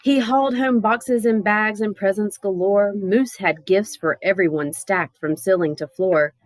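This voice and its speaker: A woman reads a children's story aloud with playful, theatrical emphasis. Her voice sits at a medium pitch and is very dry, with hardly any room sound. She is expressive, leaning into key words. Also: a little slow, no room sound, poor audio. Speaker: storybook reader. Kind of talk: animated children's picture book read-aloud.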